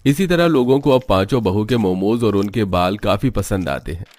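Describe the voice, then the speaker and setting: A man reading scripted narration for an animated family story. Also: fairly low pitch, normal speed.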